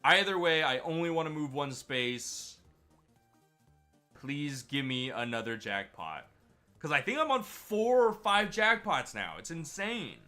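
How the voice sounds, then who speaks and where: A man streaming a game, chatty and excitable, sounding a bit stuffy from a cold. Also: fairly high pitch, a little slow, soft background music. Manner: chatty, excitable over wins. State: stuffy, slightly sick. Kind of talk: gameplay commentary.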